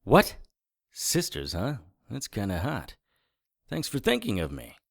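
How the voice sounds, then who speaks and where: A man playing a sardonic occult investigator, narrating a noir audio drama in the first person with a wry, deadpan manner. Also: on the low side, a little slow, gravelly, no room sound, studio quality, heavily acted. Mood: sardonic, unimpressed. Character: hard-boiled, sardonic male occult investigator. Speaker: narrator and lead character.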